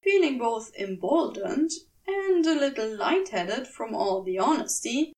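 Female fiction narrator: high voice, unhurried, expressive, slightly back from the mic. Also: distant mic. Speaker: podfic reader. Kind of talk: expressive scripted story narration.

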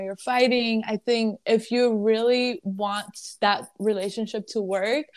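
A woman's voice: mid-range voice, darkish tone. Casual woman in her twenties, lebanese accent, easygoing interview chat with little laughs. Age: 20s. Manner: chatty, laughs between phrases. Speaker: guest, content creator.

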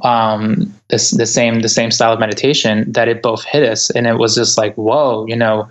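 A man from Ecuador whose first language is Spanish, speaking as a guest author in a thoughtful, earnest interview with many soft fillers. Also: lowish voice, level tone, normal speed. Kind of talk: reflective interview conversation. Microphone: remote interview over the internet.

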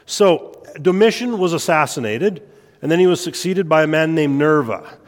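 Guy with a stuffy head cold teaching church history, slightly high voice, big echoey hall. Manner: relaxed, self-deprecating, quick to laugh. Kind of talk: informal lecture at a church meeting. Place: church meeting room. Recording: long hall reverb.

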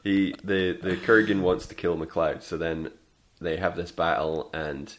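A man's voice: low-pitched, steady tone, measured pace, a bit bright. A man in casual chat, retelling a movie plot in a loose, meandering way with laughs and quoted lines.

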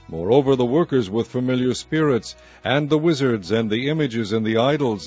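A man reading scripture aloud in archaic wording, with a measured, solemn delivery. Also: mid-range voice, a little mellow, lo-fi sound. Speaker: Bible reader.

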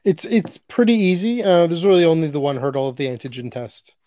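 A man's voice: a little high, sing-song, fairly quick, dark tone, dry recording. A man from Canada co-hosting a podcast, talking enthusiastically and restarting his sentences often. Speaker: podcast co-host. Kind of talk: casual podcast chat.

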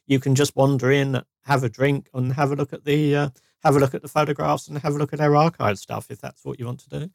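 A man, co-hosting a podcast in relaxed, chatty talk with frequent restarts. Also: mid-range voice, fast, creaky, no echo, clean studio sound. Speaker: podcast co-host. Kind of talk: casual chat.

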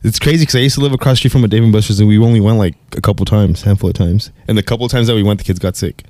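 Laid-back podcast dad with a low voice. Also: male voice, very fast, a little mellow, dry recording. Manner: laid-back, rambling storyteller. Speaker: podcast co-host. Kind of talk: casual chat.

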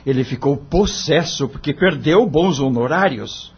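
A man's voice: on the high side, animated, measured pace, breathy edge, muffled recording, heavily acted. An actor playing an earnest, discouraged young male lawyer in a radio drama, respectful and pleading, with a Brazilian accent. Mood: dismayed.

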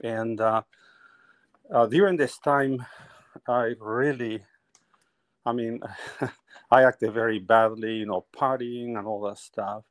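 A man's voice: medium pitch, fairly even intonation, slow pace, pauses often, dry recording. A middle-aged man from Peru, a painter telling his life story as a guest in a conversational interview, often laughing as he talks.

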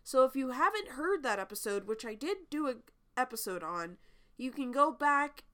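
A woman's voice: high-pitched, sing-song, normal speed, fairly bright tone. A young woman from New England hosting a true crime podcast, chatty with frequent ums, her voice congested from a cold. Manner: chatty with frequent ums, laughs between lines. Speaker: podcast host.

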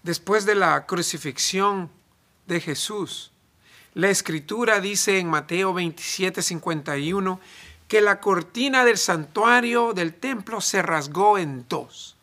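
A man from El Salvador, preaching a sermon in deliberate phrases with emphatic pauses. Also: high voice, sharp tone.

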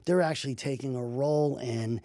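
A man with a mellow, warm tone speaking dry and close to the mic. He co-hosts a casual podcast and works through ideas analytically, stopping often to ask "right?". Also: medium pitch, brisk, dry recording. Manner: analytical, explains step by step with frequent "right?". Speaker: podcast co-host.

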